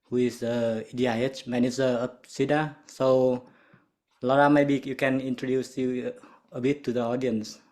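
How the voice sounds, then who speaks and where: A man hosting a webinar, introducing speakers with many fillers and pauses. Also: mid-range voice, a little mellow. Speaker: webinar host.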